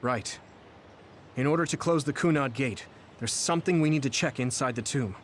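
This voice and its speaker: An actor playing a determined, sincere young hero in a video game, speaking in an earnest, steady way. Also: male voice, medium pitch, normal speed, slight background noise, close to an everyday voice. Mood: solemn.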